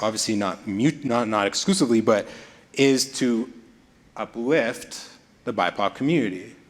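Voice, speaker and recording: A man giving a presentation, explaining investing in an easygoing way with some restarts. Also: medium pitch. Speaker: investment speaker. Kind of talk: presentation talk.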